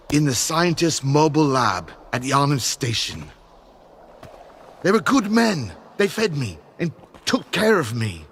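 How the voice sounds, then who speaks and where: Brooding fanatical faction leader, gravelly, wildly swooping pitch, theatrical pauses, video game drama. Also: male voice, pushed and bright, a little hiss or hum, exaggerated voice.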